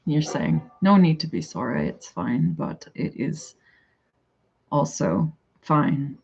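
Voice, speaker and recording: Low-voiced Canadian woman, rambling chat. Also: sing-song, slow, gravelly, a little mellow, muffled recording, a little hiss or hum. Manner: rambling, chatty, thinks aloud and answers chat. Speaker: livestreamer chatting with viewers. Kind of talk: casual live chat.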